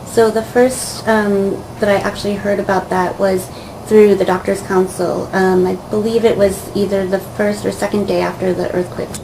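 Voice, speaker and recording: A woman, a volunteer doctor, recounting her experience in a measured way with frequent "um". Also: mid-range voice, low-quality recording. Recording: steady background hiss.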